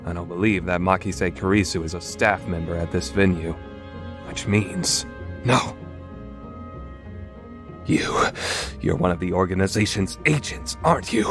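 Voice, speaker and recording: A man's voice: deep voice, a little slow, pauses often, over music. A man playing a paranoid, theatrical young self-styled mad scientist in an anime dub, with pompous, dramatic delivery. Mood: suspicious, theatrical. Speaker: amateur voice actor. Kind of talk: dramatic fandub performance with first-person narration.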